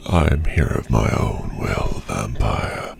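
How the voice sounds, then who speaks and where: A man playing a gruff, defiant werewolf clan veteran in a fantasy audio roleplay, with a growling voice and formal, deliberate speech. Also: animated, a little hiss or hum, full character voice. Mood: stern, accusing. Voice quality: very deep, gravelly, growling.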